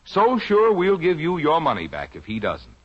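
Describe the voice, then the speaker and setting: Husky, very animated American radio announcer man. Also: on the high side, normal speed. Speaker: commercial announcer. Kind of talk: 1950s radio advertisement, scripted persuasive pitch. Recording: muffled old radio recording.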